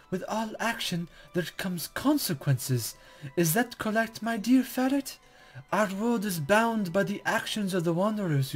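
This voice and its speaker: A man voicing a playful, smug female spirit guide who speaks in formal, cryptic, teasing phrases in a visual novel read-aloud. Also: high voice. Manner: archaic, formal, teasing, condescending.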